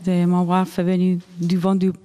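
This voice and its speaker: A woman's voice: on the low side, monotone, moderate pace, warm tone, clear, resonant tone. A woman from Argentina with an Argentine Spanish accent, speaking French as a second language, giving an academic conference talk with frequent restarts and fillers. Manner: hesitant, many restarts and fillers. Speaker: researcher presenting at a conference.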